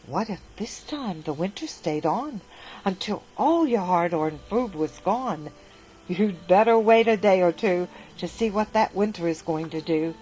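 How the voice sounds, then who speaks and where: A woman's voice: lowish voice, lively intonation, unhurried, mellow, a little hiss or hum, low-quality recording. A woman voicing a man's anxious inner voice, urgently warning him to hold on to his food. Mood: fretful. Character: anxious inner voice of a hoarding man.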